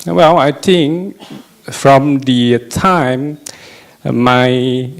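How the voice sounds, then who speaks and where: A middle-aged man from Malaysia, speaking to a retreat audience about his life, pausing often with fillers. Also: mid-range voice, steady tone, vocal fry. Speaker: dharma teacher sharing his story. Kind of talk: personal talk to an audience.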